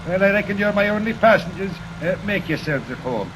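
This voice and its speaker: A man's voice: sits high, lively intonation, fairly quick. An actor playing a folksy rural trolley motorman in a 1940s radio drama. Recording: hissy 1940s radio recording, distant.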